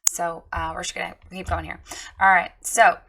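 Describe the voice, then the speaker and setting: A woman with a hoarse, froggy voice giving a frank, chatty critique of a website, with many ums and restarts. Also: lowish voice, little pitch movement, moderate pace, background noise. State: hoarse, recovering from lost voice. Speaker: website reviewer giving feedback. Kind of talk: casual spoken critique.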